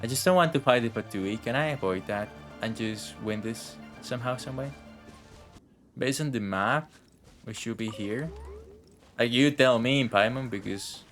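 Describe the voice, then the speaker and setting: A man's voice: on the low side, a bit bright. A man giving casual live game commentary, rambling to himself and swearing now and then. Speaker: game streamer. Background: faint game music.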